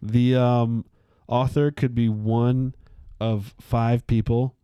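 A man in his late twenties co-hosting a casual Bible podcast, relaxed and slangy, explaining facts with many pauses. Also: mid-range voice, smooth, no room sound, clean studio sound. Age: 20s. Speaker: podcast co-host.

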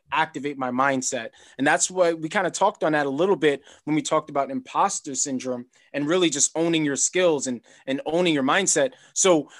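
Male podcast host, higher-pitched voice, loose rambling delivery. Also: a bit bright. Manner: rambling, conversational, repeats himself. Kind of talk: casual interview chat.

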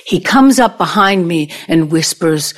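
A woman's voice: lowish voice, expressive. A woman in an audio play acting a vengeful, older ex-girlfriend who needles and tells her story theatrically. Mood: dramatic storytelling. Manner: deliberate, taunting, storytelling delivery.

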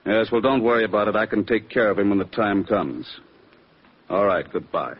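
An actor playing a shady, self-assured crooked lawyer, businesslike and scheming, in a 1940s-style radio drama. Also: male voice, lowish voice, normal speed, background noise, close to an everyday voice. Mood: calm scheming. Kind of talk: 1940s radio villain acting. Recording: dull vintage radio recording.

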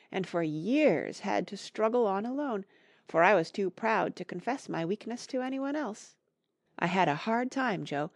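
A woman voicing a gentle, patient middle-aged mother in a classic novel, giving soft, measured counsel. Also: mid-range voice, creaky, no room sound, studio quality. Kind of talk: acted audiobook dialogue from a classic novel.

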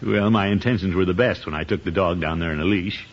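An actor playing a pompous middle-aged bachelor uncle in a 1950s radio sitcom, blustery and self-justifying. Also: male voice, sits low, fast talker. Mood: indignant. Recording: muffled old radio broadcast.

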